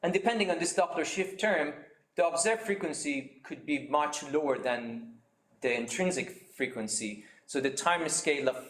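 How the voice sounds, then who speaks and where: A man's voice: medium pitch, a bit bright, echoey room. A man giving an academic seminar talk over a video call, explaining slides with frequent fillers and restarts. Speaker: seminar speaker.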